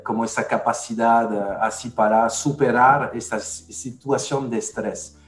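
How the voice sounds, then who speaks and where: A man's voice: mid-range voice, roomy sound. A man from France with a French accent tinged with Dominican speech, hosting a casual panel talk and hesitating often with fillers and restarts. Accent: French, with Dominican touches. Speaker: podcast host.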